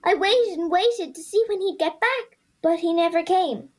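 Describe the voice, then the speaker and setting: A woman's voice: extremely high pitch, normal speed. A female performer playing an earnest, worried young Irish schoolgirl, plaintive and sincere, in a children's audio drama. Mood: anxious.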